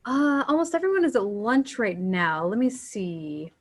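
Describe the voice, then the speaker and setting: A woman's voice: a little high, big pitch swings, measured pace. A woman playing a hesitant but helpful office receptionist in a screenplay table read.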